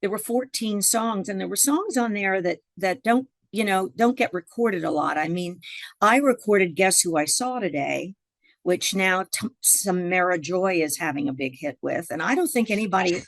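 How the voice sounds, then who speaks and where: A woman's voice: mid-range voice, expressive, moderate pace, creaky, no room sound. A woman jazz singer and songwriter, a guest in a conversational interview, telling rambling stories with many fillers.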